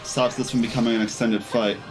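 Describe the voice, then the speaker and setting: A man streams a video game with casual commentary in a mid-pitched voice. He talks to himself and the game as he goes, with faint music behind. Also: level tone, brisk.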